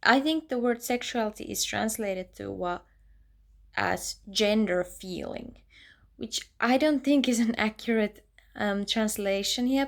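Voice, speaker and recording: Woman with a creaky voice. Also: mid-range voice. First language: Finnish. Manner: chatty and reflective, drops to soft near-whispers. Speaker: book vlogger. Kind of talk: casual reading vlog.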